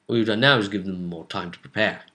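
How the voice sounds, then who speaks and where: A man chatting casually as a game master, recapping a tabletop role-playing session in an animated storytelling way with hesitant asides. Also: lowish voice, fast talker.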